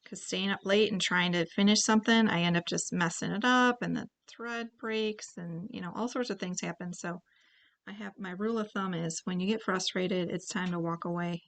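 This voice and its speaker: A woman's voice: on the low side, steady tone, normal speed, creaky, fairly bright tone. Chatty woman teaching a painting class. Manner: chatty, thinks aloud while painting. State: keeps clearing her throat. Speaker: painting instructor. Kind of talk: relaxed paint-along tutorial.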